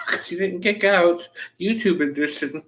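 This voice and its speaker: A man's voice: sits high, a little slow, a bit bright. A man hosting a cooking livestream, rambling casually with playful, silly asides. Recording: echoey room.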